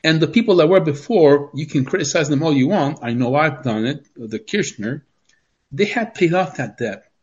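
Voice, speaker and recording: A man's voice: on the high side, animated, normal speed, darkish tone. A man from Argentina, a guest on a podcast interview, talking in a worried way and asking rhetorical questions, heard over a dull remote line. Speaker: guest, obstetrician.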